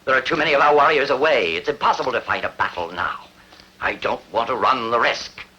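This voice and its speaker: A man's voice: mid-range voice, animated, fairly quick, bright, forceful tone, lo-fi sound. A man acting a superstitious, commanding king in a dubbed historical epic, declamatory and imperious. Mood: grave.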